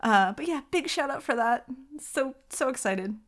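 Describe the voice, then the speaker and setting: Excitable woman chatting casually to listeners. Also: high-pitched, normal speed. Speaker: ASMR channel creator.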